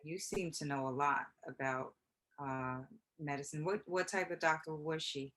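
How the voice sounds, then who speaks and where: A woman's voice: low-pitched, fairly even intonation, moderate pace, fairly bright tone. A woman hosting an interview, asking questions in an easygoing, encouraging way. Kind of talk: casual interview chat.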